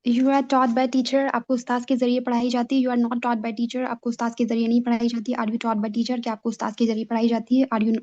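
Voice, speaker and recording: Mellow, flat-voiced woman student. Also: fairly high pitch, brisk, hardly any pauses, highs cut off. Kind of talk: reciting grammar drills.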